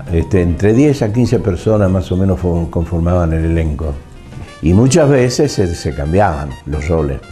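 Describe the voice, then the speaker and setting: Older man, Argentine accent, very low mellow voice, halting reminiscence, music underneath. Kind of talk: reminiscing interview talk.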